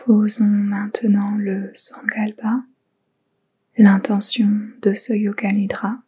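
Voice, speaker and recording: Meditation guide, female: whispery and heavily breathy, unhurried, very even delivery, gentle instructions. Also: medium pitch. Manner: slow, gentle, soothing instructions with pauses. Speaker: yoga nidra meditation guide.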